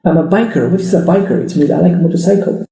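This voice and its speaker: A man's voice: on the high side, fast talker, mellow. A middle-aged man, a business marketing trainer, explaining a screen-share tutorial step by step in loose non-native phrasing, often checking with "right?". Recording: echoey room.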